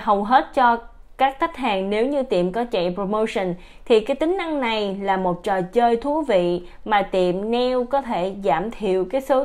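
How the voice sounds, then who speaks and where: A woman giving a steady, explanatory voice-over for a software product demo. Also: fairly high pitch.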